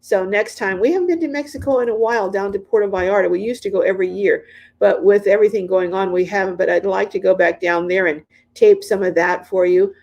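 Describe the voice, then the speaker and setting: A woman's voice: medium pitch, steady tone, fast, creaky, a little mellow. Chatty woman in her sixties. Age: 60s. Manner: chatty, repeats phrases, frequent ums and restarts. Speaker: livestream host. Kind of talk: casual live chat reading comments. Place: inside her van or RV.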